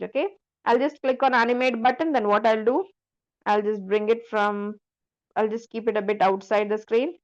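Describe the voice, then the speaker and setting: A woman instructor talking through a screen-share software tutorial step by step, often checking that the listener follows. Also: on the high side, lo-fi sound.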